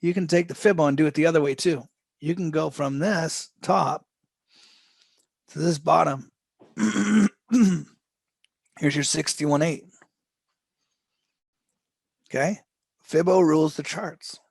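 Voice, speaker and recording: A man running a live trading lesson, his voice hoarse and fading as he goes. He speaks slowly, in a loose, rambling way full of restarts and throat clearing. The sound is close and dry, with no room around it. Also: a little high, steady tone, no echo. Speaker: trading instructor.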